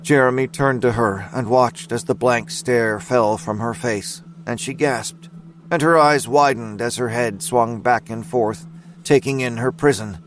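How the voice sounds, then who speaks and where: A man narrating scripted dramatic fiction in a measured storytelling manner. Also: mid-range voice, slight background noise.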